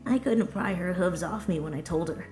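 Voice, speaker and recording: A woman voicing a brash but self-doubting young female pegasus pony in a story reading, with a casual, slangy, excitable manner. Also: fairly low pitch, normal speed, soft background music, natural voice. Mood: tender, unsure.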